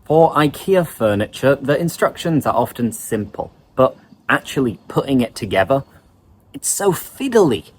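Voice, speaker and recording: A man teaching English vocabulary in an online lesson, explaining words in a lively way. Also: mid-range voice, normal speed, slight background noise.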